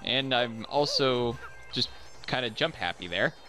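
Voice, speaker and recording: A man's voice: medium pitch, animated, a little slow, bright, forceful tone. A young man giving chatty, self-deprecating commentary over recorded gameplay. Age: young adult. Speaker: let's play commentator.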